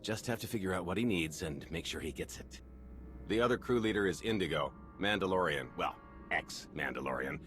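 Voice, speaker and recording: A man's voice: low-pitched, animated, a little fast, fairly bright tone, soft background music. An actor playing a savvy male underworld fixer in a video game, matter-of-fact and streetwise in manner.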